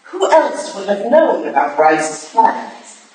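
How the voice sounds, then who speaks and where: A woman's voice: medium pitch, sing-song, slow pace, darkish tone. Actress as stern Victorian lady detective, swooping delivery. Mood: grave. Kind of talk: staged reading of a period stage play. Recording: echoey hall, distant mic, hissy noise.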